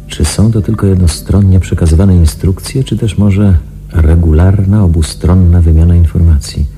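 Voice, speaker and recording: An analytical male investigator in a radio drama, thinking aloud in an extremely low voice. He is deliberate and musing, with music clearly playing underneath. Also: steady tone, warm tone. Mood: pondering. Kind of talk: radio play inner monologue.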